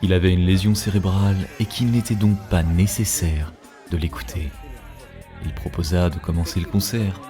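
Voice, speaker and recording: A man's voice: low voice, fairly even intonation, moderate pace. A man reading scripted narration for a cartoon story. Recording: music behind the voice. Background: background music.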